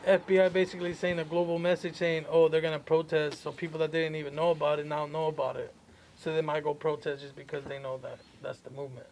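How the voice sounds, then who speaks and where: Higher-voiced questioning podcast guy. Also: level tone, quick pace, darkish tone, low-quality recording. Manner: questioning, argumentative, casual. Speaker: podcast co-host. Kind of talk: casual group chat.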